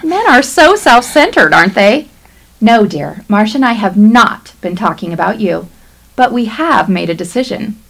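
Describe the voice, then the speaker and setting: An actress playing an exasperated, pragmatic middle-aged wife in a radio play, wry and insistent. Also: female voice, medium pitch, very animated, normal speed, vocal fry, a bit bright, exaggerated voice, poor audio. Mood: dryly sweet. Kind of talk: stage-style radio play acting.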